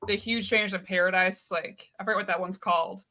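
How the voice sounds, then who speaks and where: A woman's voice: medium pitch, fairly even intonation, fast talker, a loud crowd. A woman on a comics podcast panel chatting casually, with many fillers. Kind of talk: casual chat.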